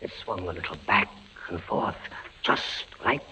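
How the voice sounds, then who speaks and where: An actor playing a fevered, tormented captive captain in halting, melodramatic 1940s radio style, swinging from murmurs to cries. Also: male voice, sits low, lively intonation, a crowd in the background. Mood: haunted, weary. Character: tormented, fevered male prisoner, a condemned army captain. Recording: dull, noisy 1940s radio recording.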